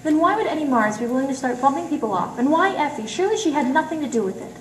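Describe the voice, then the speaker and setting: An amateur actress playing a detective's sharp, streetwise female sidekick in a 1940s radio noir, pointed and slangy. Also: high-pitched, lively intonation, fast. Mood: alarmed. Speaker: amateur stage actor. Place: live presentation in a roomy gallery. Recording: echoey room, distant mic, faint noise.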